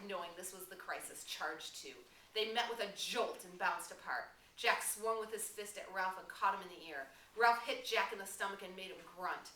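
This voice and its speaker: Woman, expressive story narrator, very bright tone, echoey room with faint noise. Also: mid-range voice. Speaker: tutor reading a novel aloud. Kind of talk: dramatic audiobook narration. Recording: roomy, distant mic, faint noise.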